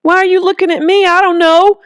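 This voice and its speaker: A woman's voice: very high voice, little pitch movement, fairly quick, no room sound, pitched above the performer's own voice. A woman voicing a flustered young man, a reluctant hero who blurts defensively, in an audiobook. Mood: exasperated panic.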